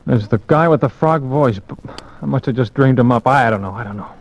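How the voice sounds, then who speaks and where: A man's voice: mid-range voice, a little fast, natural voice. A man playing a frightened young suspect. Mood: shaken. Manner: hesitant, shaken delivery. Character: young male suspect Tom, scared and bewildered. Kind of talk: 1940s radio acting. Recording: muffled old radio broadcast.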